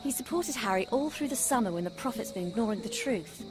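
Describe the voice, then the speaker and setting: A woman's voice: on the high side, expressive, fast, close to an everyday voice. A woman playing an earnest, caring, bossy teenage witch in a video game. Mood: concerned. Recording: loud game music behind.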